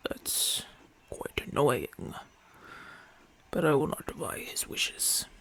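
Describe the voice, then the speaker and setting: Casting: male actor as haughty Roman gladiator, gruff and imperious, creaky voice, unhurried delivery, breathy murmurs, for ASMR roleplay. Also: on the high side, no echo. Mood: annoyed, reluctant. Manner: imperious, formal, dismissive. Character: proud, haughty Roman gladiator champion, gruff but softening. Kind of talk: ASMR audio roleplay acting.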